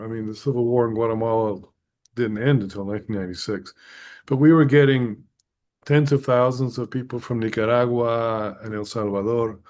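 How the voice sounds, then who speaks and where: A middle-aged man from the United States talks as an interview guest. He answers thoughtfully in a conversational way, pausing with fillers as he works out his points. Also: medium pitch, darkish tone. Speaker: guest scholar.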